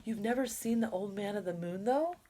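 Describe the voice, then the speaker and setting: Woman voicing curious young storybook girl, lifted pitch. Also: lively intonation, a little fast, mellow, a little hiss or hum, close to an everyday voice. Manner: questioning, lifted intonation.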